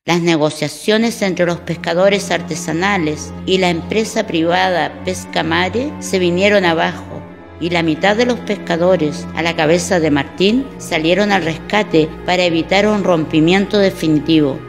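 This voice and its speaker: Female narrator for a radio serial: lowish voice, light hall reverb, music underneath. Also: moderate pace. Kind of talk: scripted radio drama narration.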